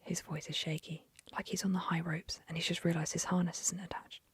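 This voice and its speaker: Breathy whispering young woman. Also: lowish voice, level tone, a little fast, dry recording. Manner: soft whisper, chatty with fillers after the story. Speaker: ASMR storyteller. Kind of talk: ASMR whispered story reading.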